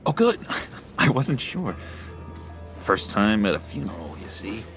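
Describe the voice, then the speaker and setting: A male actor voicing an unstable, needy villain in a video game, halting and theatrical, with a creaky voice that turns breathy when hushed. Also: on the low side, huge pitch swings, faint music behind, exaggerated voice. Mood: nervous, wistful. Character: unstable, clingy, awkward young male villain with manic laughter.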